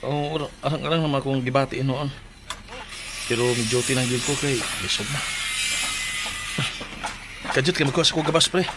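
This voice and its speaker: A man's voice: medium pitch, fairly even intonation, moderate pace, pauses often, heavy background noise, lightly acted. An actor with a Cebuano accent playing an earnest, ailing 45-year-old husband who tells his troubles in a Philippine radio drama. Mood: subdued. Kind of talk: Philippine radio drama acting and letter narration. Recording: music bed under voice.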